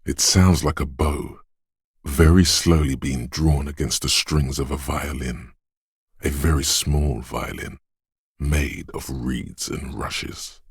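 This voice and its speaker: An actor voicing a disembodied male inner sense of perception in a game, speaking in a hushed, measured, quietly descriptive way in the second person. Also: rumbling low, fairly even intonation, slightly rough, dry recording, clean studio sound, heavily acted. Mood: hushed observant.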